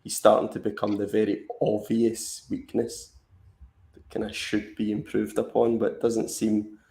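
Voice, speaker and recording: A man's voice: lowish voice, completely flat delivery, normal speed, vocal fry, dark tone, reverberant. A man co-hosting a fantasy football live stream, chatting casually and rambling with lots of fillers and restarts. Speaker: fantasy football podcast co-host.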